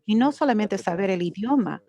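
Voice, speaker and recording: A woman interpreting an academic lecture live, halting and correcting herself as she goes. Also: medium pitch, expressive, mellow. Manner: halting, with frequent restarts and self-corrections. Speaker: conference interpreter.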